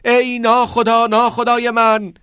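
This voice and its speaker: A man's voice: high voice, a bit bright, no echo, studio quality, pitched above the performer's own voice. A man voicing a tearful, defiant teenage schoolboy who pleads urgently in an audiobook. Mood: impassioned calling. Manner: raised, urgent, emotional pleading. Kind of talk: audiobook character voice.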